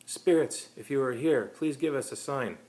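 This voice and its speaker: A man's voice: medium pitch, moderate pace, pitched above the performer's own voice, lightly acted. Man voicing a solemn, hypnotic female spirit medium. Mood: solemn, invoking. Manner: calming, hypnotic, theatrical delivery. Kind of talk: short story character reading.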